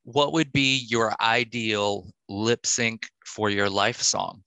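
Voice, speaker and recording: A male podcast host with a bright, forward voice in a very dry recording. He asks his questions casually and responds in an encouraging way. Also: lowish voice, slow pace, gravelly, clean tone, dry recording. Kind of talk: casual interview, asking quick-fire questions.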